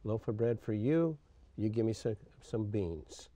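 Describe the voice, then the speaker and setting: An older man from Greece, a retired coach, recounting his life in an interview with a halting manner, often pausing and clearing his throat. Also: fairly low pitch, animated, warm tone.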